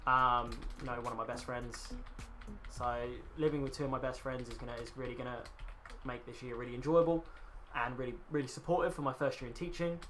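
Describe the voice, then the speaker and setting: Bright-voiced guy in his twenties. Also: medium pitch, fairly even intonation, a little fast, faint music behind. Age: 20s. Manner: rambling, chatty, swears casually, talks to chat. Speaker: game streamer. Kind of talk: casual live-stream chat.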